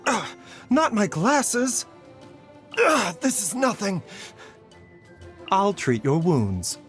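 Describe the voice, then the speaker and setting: An actor playing a gentle, scholarly doctor in a video game, speaking in a polite, measured and softly formal manner. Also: male voice, high-pitched, huge pitch swings, exaggerated voice. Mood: strained, then calm, welcoming. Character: gentle, courteous adult male doctor, calm and scholarly. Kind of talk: scripted game voice lines. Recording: music behind the voice.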